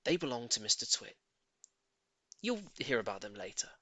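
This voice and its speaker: Male audiobook narrator for children's fiction: emphatic, lively, forceful tone, very dry recording. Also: mid-range voice, moderate pace, no echo. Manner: theatrical, emphatic storytelling. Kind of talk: expressive scripted narration of a children's story.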